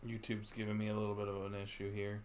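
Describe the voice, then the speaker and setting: A man's voice: fairly low pitch, completely flat delivery, fast, darkish tone, slight background noise. A man livestreaming a video game, reacting casually with brief, offhand, laid-back remarks.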